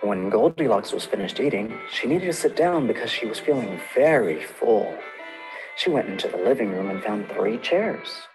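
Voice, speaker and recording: A male librarian leads a children's story time, telling fairy tales with a lively voice that swoops up and down. He sounds gentle and talks straight to the children, with faint music behind him. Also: lowish voice, moderate pace, poor audio. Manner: gentle, sing-song storytelling, addresses children directly.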